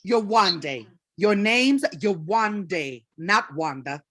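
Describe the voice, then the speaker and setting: Stage drama reading: a woman plays a middle-aged mother and theater elder, proud and wounded. She speaks with earnest emphasis in a mid-pitched voice, recorded close and dry. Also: animated, drawn-out words, fairly bright tone, no room sound, full character voice. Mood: upset, hurt.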